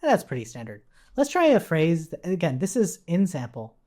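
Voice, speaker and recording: A woman's voice: fairly low pitch, wildly swooping pitch, a little fast, warm tone. A woman giving a casual technical screencast tutorial as an instructor, informally checking in with the listener as she explains. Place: indoors at a computer.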